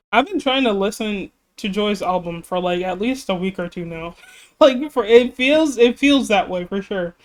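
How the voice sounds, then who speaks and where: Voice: medium pitch, clear, resonant tone. A music reaction YouTuber chatting casually and rambling, laughing often and apologizing, while slightly losing their voice. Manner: self-deprecating, apologetic. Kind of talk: casual reaction video chat.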